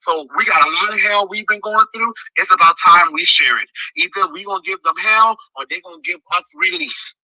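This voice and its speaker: Male candidate, emotional, forceful speaker, high voice, close and dry. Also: expressive, fairly quick, hardly any pauses, dry recording, low-quality recording. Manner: strong, forceful voice; emotional, fiery delivery. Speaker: political candidate. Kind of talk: impassioned thank-you speech.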